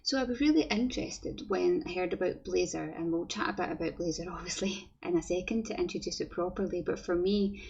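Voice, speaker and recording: A woman's voice: lowish voice, a little fast, creaky, darkish tone, slightly rough, muffled recording. A young woman with a Glasgow accent, a developer giving a friendly, chatty online conference talk and often repeating words as she goes. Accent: Scottish, Glasgow.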